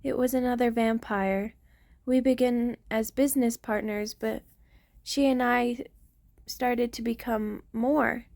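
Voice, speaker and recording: A woman playing a centuries-old vampire queen, tender, protective and remorseful, speaking softly and formally in an intimate ASMR roleplay. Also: fairly high pitch, fairly even intonation, slow pace, gravelly. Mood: wistful. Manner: soft, formal, confessional, hesitant phrasing.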